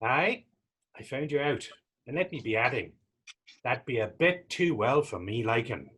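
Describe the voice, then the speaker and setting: Man acting a husky, mocking young Irish vagrant. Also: medium pitch, animated, normal speed, a bit bright. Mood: needling. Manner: taunting, sarcastic, theatrical dialect speech. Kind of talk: readers theater stage acting.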